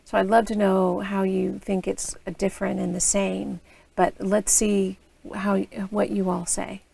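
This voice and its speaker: A woman's voice: mid-range voice, little pitch movement, measured pace, gravelly, mellow, slightly rough. An American woman interviewing student teachers over a video call, asking open questions in an encouraging way. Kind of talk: reflective interview.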